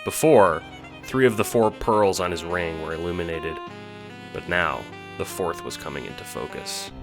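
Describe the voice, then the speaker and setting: A man's voice: sits low, moderate pace, gravelly, over music. A man reading scripted, dramatic second-person narration as the narrator of an audio drama.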